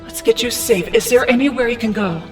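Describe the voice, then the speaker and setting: A woman's voice: a little high, a little fast, far from the mic, soft background music, lightly acted. A woman playing a protective, firm young female superhero in an audio drama. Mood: concerned. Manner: urgent, firm.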